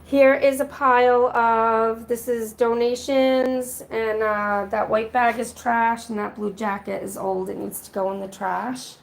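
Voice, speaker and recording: Woman vlogger, raspy from a cold, slow and unhurried, sits a bit high, casual livestream chatter. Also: steady tone. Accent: New England. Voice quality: hesitant, many ums and uhs. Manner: slow, walking through rooms listing items. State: raspy from being sick. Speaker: vlog host on a livestream. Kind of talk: casual livestream chat, reading comments. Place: apartment being packed up. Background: road noise.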